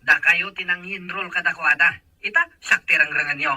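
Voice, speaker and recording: A man's voice: high voice, fairly even intonation, moderate pace, bright, forceful tone, dry recording. A man playing an irresponsible, irritable and dismissive older brother with a Filipino Ilocano accent in an audio drama. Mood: irritated, dismissive.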